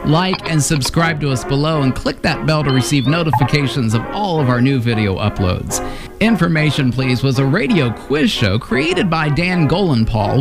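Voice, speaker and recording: Lively male narrator over music. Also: on the high side. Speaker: narrator of radio history series. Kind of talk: scripted narration.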